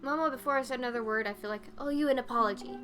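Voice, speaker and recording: A woman voicing an earnest, solemn young goddess-reborn heroine in a video game, measured and slightly halting. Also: fairly high pitch, fairly bright tone, lightly acted. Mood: earnest explaining. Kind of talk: video game dialogue read-aloud. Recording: music behind the voice.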